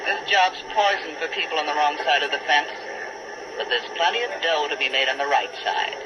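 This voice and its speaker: A woman's voice: low-pitched, brisk, lightly acted. A woman playing a tough, cynical riverboat skipper in a 1940s radio drama, blunt and slangy. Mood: shrewd, needling. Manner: hard-boiled, slangy, blunt. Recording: dull, noisy old 1940s radio recording.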